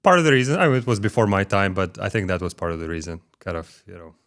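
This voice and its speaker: A middle-aged man with a Ukrainian accent and a very low voice. He answers interview questions in a measured way, pausing often with fillers, in a corporate office. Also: a bit bright. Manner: measured, with frequent "um" and "uh" pauses. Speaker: guest, corporate development executive. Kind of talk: conversational interview.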